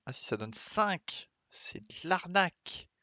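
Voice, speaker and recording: A man's voice: high voice, very animated, takes it slowly, sharp tone, no echo. A man doing casual live gameplay commentary, thinking aloud as he plays and often muttering to himself. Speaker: game streamer.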